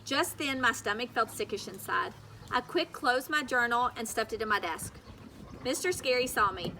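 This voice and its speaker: Female teacher for story read-aloud: high, bright voice, expressive narration, some background noise. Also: normal speed.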